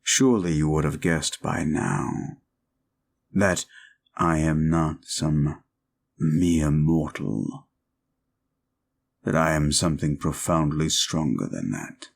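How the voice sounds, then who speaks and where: A man playing a suave, possessive vampire in an audio roleplay, speaking formally and deliberately with a commanding manner. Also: a bass voice, gravelly, full character voice. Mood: possessive, measured. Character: suave, controlling male vampire, courtly and possessive. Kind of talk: scripted audio roleplay, slow intimate monologue.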